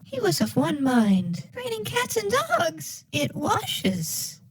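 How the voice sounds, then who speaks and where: A woman's voice: very high-pitched, wildly swooping pitch, takes it slowly, a little hiss or hum. A synthetic female voice reading short, disjointed text-to-speech phrases in a sing-song manner.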